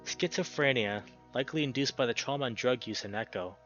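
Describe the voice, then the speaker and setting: A man reading a visual novel aloud as a playthrough host, expressive, with casual reactions of his own. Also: medium pitch, brisk, muffled recording. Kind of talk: reading game text aloud with spontaneous reactions. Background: music.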